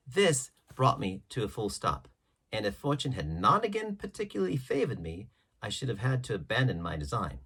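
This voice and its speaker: A man's voice: lowish voice, a bit bright, husky. A man reading a classic adventure story aloud as scripted literary narration. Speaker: audiobook reader.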